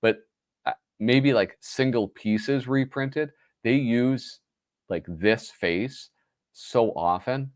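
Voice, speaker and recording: A man's voice: mid-range voice, slow, no room sound, studio quality. A man with a nasal voice hosting a casual livestream chat, rambling and correcting himself with lots of fillers. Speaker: host.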